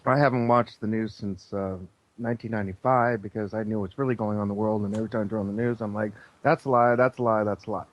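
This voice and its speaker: A man with a fairly low voice is a guest on a radio interview. He speaks conversationally, in halting phrases, and is firm about his opinions. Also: fairly even intonation, dull audio. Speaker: interview guest, former air traffic controller.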